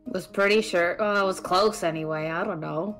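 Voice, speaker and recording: A woman playing an introverted, opinionated young magic student in an audio drama, with a halting, deadpan, teasing delivery. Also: lowish voice, faint music behind. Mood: unsure. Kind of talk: audio drama dialogue, naturalistic.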